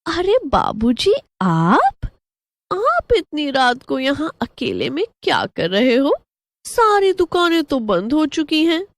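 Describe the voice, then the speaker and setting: A woman's voice: high voice, huge pitch swings, slow, voice pushed up, exaggerated voice. A woman voicing a young flower-seller girl who coaxes sweetly and playfully in a horror story. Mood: feigned surprise.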